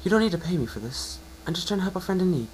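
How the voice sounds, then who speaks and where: Man putting on a high-pitched kindly young mare. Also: very animated, rapid-fire, slight background noise, rough recording. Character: kind young female unicorn pony, studious and helpful.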